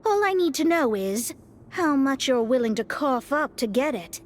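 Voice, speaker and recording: A woman's voice: high voice, sing-song, normal speed, slight background noise. A woman voicing a proud, money-minded young woman in a video game, a theatrical and self-assured negotiator. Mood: haggling.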